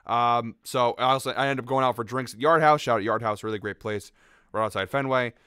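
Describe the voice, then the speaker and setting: A man rattles off betting odds and stats at a brisk clip, his voice a touch raspy from a late night out. The sound is smooth and clear. It is recorded close in a dry room. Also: mid-range voice, pushed and bright, dry recording. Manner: quick, upbeat, rattles off stats with fillers. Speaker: sports betting picks host. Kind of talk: fast casual betting-tips monologue.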